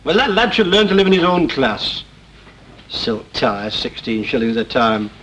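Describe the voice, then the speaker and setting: A man's voice: mid-range voice, big pitch swings, moderate pace, a bit bright. An actor playing a put-upon, middle-aged working-class father who grumbles in a northern English accent, in 1960s television soap style. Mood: aggrieved. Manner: grumbling, aggrieved. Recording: old noisy 1960s television soundtrack.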